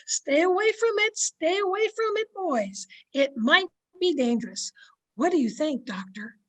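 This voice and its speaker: A woman playing a sensible, chipper small-town wife in old-time radio comedy, polite and gently chiding. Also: very high voice, animated, a little slow. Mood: alarmed. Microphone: video call microphone.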